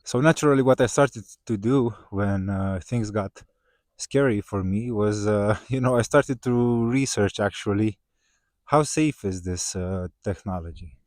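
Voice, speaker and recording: A man whose first language is Romanian talks to his viewers in a relaxed, conversational way, full of small hesitations and "right?" check-ins. His voice sits on the low side. The sound is dry and close, with no room around it. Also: a little slow, dry recording. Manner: conversational, many "uh" fillers and "right?" tags, rising when excited. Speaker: vlogger. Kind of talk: casual talk to camera.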